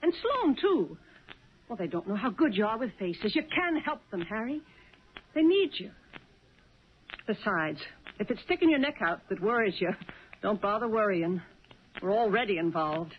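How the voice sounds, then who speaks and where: An actress playing a caring, insistent wife in a 1970s radio drama. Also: female voice, mid-range voice, close to an everyday voice. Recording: dull, noisy 1970s radio broadcast.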